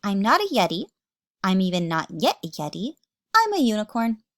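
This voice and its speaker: A woman voicing a gentle, earnest young yeti boy in a children's storybook. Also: medium pitch, very animated, normal speed, dry recording, studio quality. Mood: excited, proud.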